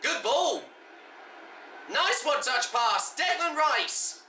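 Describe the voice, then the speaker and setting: A young man watching a football match at home with his dad, reacting live and shouting at big moments. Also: very high voice, sing-song, slow pace. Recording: echoey room, distant mic, faint background noise.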